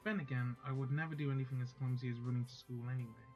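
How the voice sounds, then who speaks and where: A man's voice: medium pitch, steady tone, very fast, dull audio. Man reading game text over faint music. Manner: reads steadily, stumbles and corrects himself mid-line. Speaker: gaming YouTuber reading a visual novel aloud. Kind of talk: casual read-aloud of game text. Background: faint background music.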